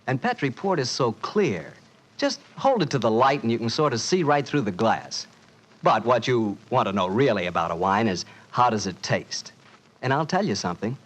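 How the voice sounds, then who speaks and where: A man's voice: medium pitch, low-quality recording. A man with an American accent announcing in a folksy, salesmanlike 1940s radio style.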